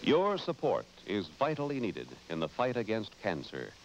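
A man's voice: fairly low pitch, wildly swooping pitch, normal speed, background noise. An American man announcing in 1950s broadcast advertising style, with polished, emphatic salesmanship. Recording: dull vintage broadcast recording.

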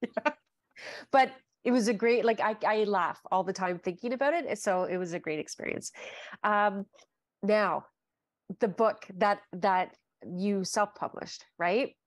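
A woman's voice: medium pitch, unhurried, dry recording. Host, woman in her forties, gushing chatty delivery, dead-dry home call audio. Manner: chatty and gushing, with frequent fillers. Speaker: podcast host. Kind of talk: casual video-call interview. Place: home living room. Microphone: video call.

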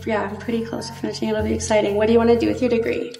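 A woman in her twenties on a blind date, talking softly and hesitantly with lots of fillers. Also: a little high, level tone, very fast, gravelly, far from the mic. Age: 20s. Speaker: contestant. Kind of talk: casual chat on a blind date. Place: bright studio room. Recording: echoey room with faint music.

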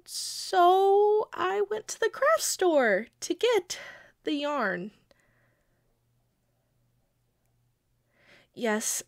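A woman playing a quirky, sheepish young girlfriend who pleads and defends herself hesitantly in an intimate audio roleplay. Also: high-pitched. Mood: sheepish, defensive. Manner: hesitant, pleading, halting with pauses. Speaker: amateur audio roleplay voice actor.

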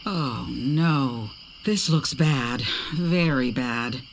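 A performer playing a weary, reluctant pirate recruit in a video game, deadpan and grudging. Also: mid-range voice, very slow, a little hiss or hum. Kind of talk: video game character acting.

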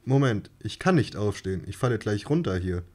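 A man voicing August, an odd, nervous young man who stalls and speaks flatly, in a radio play. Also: lowish voice, fairly quick. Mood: deadpan. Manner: hesitant and stalling, flat deadpan. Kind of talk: amateur radio-play reading.

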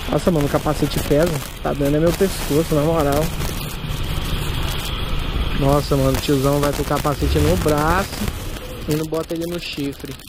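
A man's voice: fairly high pitch, fairly even intonation, normal speed, long pauses. A Brazilian man casually narrating while riding a motorcycle through city traffic, chatty and slangy, recorded inside his closed helmet. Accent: Brazilian Portuguese. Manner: chatty, laid-back, slangy. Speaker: motovlogger, ride-hailing motorcycle driver. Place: motorcycle on busy city streets, outdoors. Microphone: helmet-mounted action camera, visor closed. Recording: loud road and engine noise. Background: loud engine and traffic noise.

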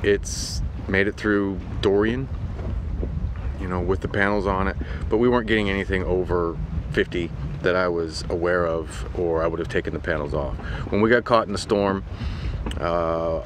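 A man in his forties talking casually and matter-of-factly to camera in halting phrases, explaining gear like a vlogger. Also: low-pitched, fairly even intonation. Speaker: sailing vlogger reviewing equipment. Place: outdoors on a sailboat deck at anchor. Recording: windy outdoor boat deck noise.

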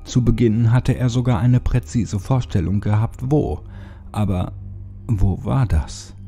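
A man narrating a horror story as an audiobook, in a deliberate, brooding and dramatic delivery with pauses. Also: lowish voice, dark tone, faint music behind.

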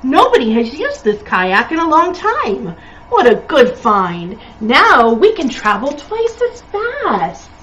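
A woman voicing a young male storybook polar bear, caring, cautious and earnest, gently giving instructions. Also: high voice, wildly swooping pitch, slow, muffled recording, slight background noise, voice pushed up, full character voice. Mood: reassuring.

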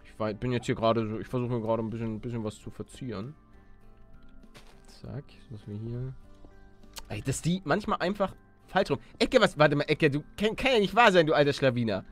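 A man's voice: medium pitch, expressive, fairly quick, fairly bright tone. A man giving casual, slangy gameplay commentary, chatting and exclaiming as he plays. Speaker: let's player. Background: background music.

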